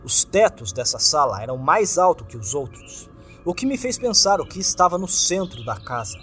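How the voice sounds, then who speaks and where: Brazilian guy reading a creepypasta, dramatic n suspenseful, pitch goes up and down a lot, faint music under it. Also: sing-song, normal speed, a bit bright. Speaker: horror story narrator. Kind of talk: scripted first-person creepypasta narration. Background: background music.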